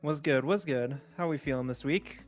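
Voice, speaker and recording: A man's voice: fairly high pitch, normal speed. A young man co-hosting a podcast, chatting in an easygoing, slangy way. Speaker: podcast co-host. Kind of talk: casual chat. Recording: music playing behind the voice. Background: background music.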